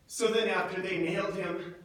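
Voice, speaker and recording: An actor playing a grief-stricken, guilt-ridden disciple of Jesus in a theatrical monologue, speaking in a halting, emotive way. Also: male voice, sits high, little pitch movement, a bit bright. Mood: anguished, horrified. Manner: halting, anguished, emotive delivery with repeated words. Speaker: actor in a church dramatic monologue. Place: large echoing church indoors. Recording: echoey church, far from the mic.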